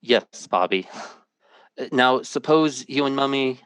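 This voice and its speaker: A man playing a sober, ordinary math teacher, narrating gravely and deliberately in a 1950s-style radio drama. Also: mid-range voice, expressive, fairly bright tone, no echo. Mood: polite, composed. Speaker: lead actor.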